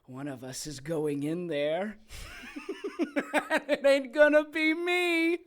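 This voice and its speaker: A woman's voice: a little high, fairly even intonation, slow pace. A woman playing a spiteful, mocking female villain in an audio drama, with a theatrical, taunting manner. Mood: taunting menace.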